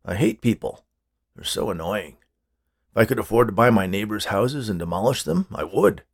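Storybook curmudgeon: male reader as an older man, creaky, curt and sarcastic, blunt delivery. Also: lowish voice, expressive, moderate pace. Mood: grumpy. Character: grumpy, misanthropic older man, blunt and dismissive.

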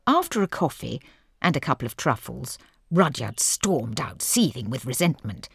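A woman playing a deadpan narrator, telling a scripted comic story in a measured way. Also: extremely low pitch, faint music behind, heavily acted.

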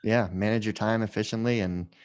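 A middle-aged man with a fairly low voice hosts an interview. His delivery is casual and conversational, with loose, informal phrasing. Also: steady tone, a little fast, darkish tone, highs cut off. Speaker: podcast host.

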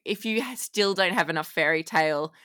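A woman's voice: lowish voice, fairly quick, pushed and bright, smooth, no room sound, studio quality. A woman co-hosting a casual fan podcast, chatting with an enthusiastic manner. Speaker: podcast co-host.

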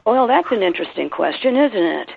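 A Southern woman with a South Carolina and Tennessee accent tells her story with drawn-out emphasis. Her voice has a heavy gravelly fry. It comes through a thin, tinny phone line. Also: mid-range voice, big pitch swings. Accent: Southern American, South Carolina and Tennessee. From American South. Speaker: author guest.

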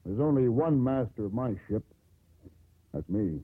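An actor playing a proud, courtly sea captain in a 1950s radio drama, speaking formally, deliberately and tenderly. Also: male voice, mid-range voice, lively intonation, breathy edge, no room sound. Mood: proud, courting. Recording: tinny, muffled 1950s radio broadcast.

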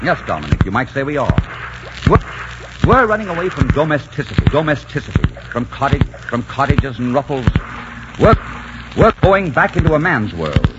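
An actor playing a proud, dashing pirate captain, gruffly romantic and mocking, in theatrical 1940s radio style. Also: male voice, mid-range voice, sing-song, normal speed, lightly acted. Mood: brisk, wry. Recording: muffled, very noisy 1940s radio recording with music.

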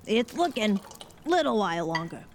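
A curt, sardonic skeptic. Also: female voice, mid-range voice, sing-song, moderate pace, noisy background. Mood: curt, dry. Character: dry, bossy, skeptical woman conspirator. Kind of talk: audio drama dialogue.

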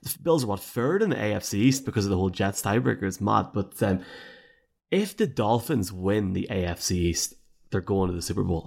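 A man co-hosting a sports podcast, his voice going hoarse, talking fast with stammering restarts. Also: lowish voice. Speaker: podcast co-host. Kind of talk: casual chat.

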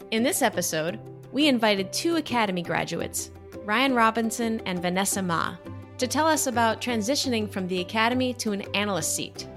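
A woman opens a podcast with a polished, upbeat scripted introduction at a mid pitch. Music plays clearly beneath her voice throughout. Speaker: podcast host and program director. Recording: voice over background music.

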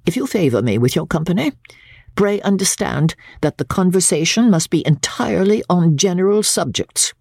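Woman to play haughty mature widow for classic audiobook; raspy edge, very animated intonation, stern and exact diction. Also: on the low side, moderate pace, no room sound, clean studio sound, heavily acted. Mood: smug, condescending. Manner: stern, precise, formal. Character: haughty, cold, self-righteous middle-aged widow writing a letter. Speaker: audiobook reader. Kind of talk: dramatic classic literature reading.

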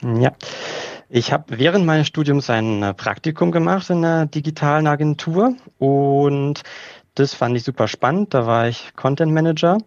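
A man from Berlin, guest on a podcast, explaining his career in a measured way with filler sounds. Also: fairly high pitch, no echo. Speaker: guest, organizational developer. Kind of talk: casual interview. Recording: dull lo-fi dry sound.

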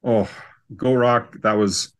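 A man's voice: mid-range voice, fairly even intonation, slow pace. A man with a Canadian accent telling stories casually as an interview guest, in an easygoing, self-deprecating way. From Canada, southern Saskatchewan. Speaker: podcast guest.

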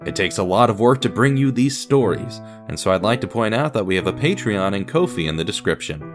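Low-voiced theatrical man narrating radio drama. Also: expressive, fairly quick. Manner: theatrical, wry, confiding storyteller. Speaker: narrator and host of an audio drama. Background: guitar music.

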